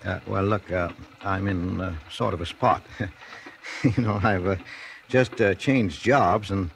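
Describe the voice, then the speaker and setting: A man's voice: low voice, unhurried, creaky, a little hiss or hum. An actor playing a meek, nervous man, hesitant and easily flustered, in a 1940s radio drama. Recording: 1940s radio broadcast with music.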